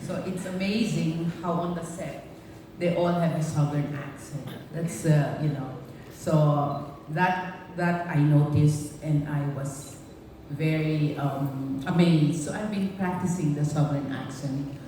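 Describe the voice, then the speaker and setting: A woman with a Filipino accent speaks in a low, mellow voice, halting and pausing as she answers. She is picked up from far off in a big echoey hall, and faint noise sits behind her. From Philippines. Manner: halting, with many pauses and fillers. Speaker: cast member at a press conference. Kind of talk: panel answers at a press event.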